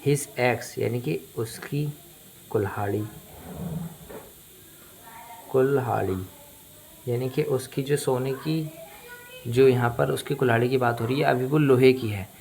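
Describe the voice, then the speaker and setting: A man with an Indian accent, a native Hindi speaker, teaching English phrase by phrase in a deliberate, repetitive, explanatory manner. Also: mid-range voice, gravelly, a little mellow, slight background noise, rough recording. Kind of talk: bilingual language lesson, phrase by phrase.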